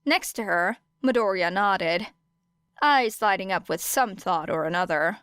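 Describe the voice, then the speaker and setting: Clear-voiced woman narrating a story. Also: mid-range voice, unhurried, a bit bright, dry recording, clean studio sound. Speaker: audiobook narrator of fan fiction.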